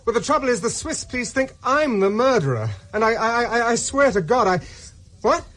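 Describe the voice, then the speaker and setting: A man's voice: very high voice, animated, fairly quick, a bit bright, full character voice. An actor playing a distraught, hunted young Englishman in a radio drama, urgent and stammering. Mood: panicked, pleading. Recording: faint noise and ambience.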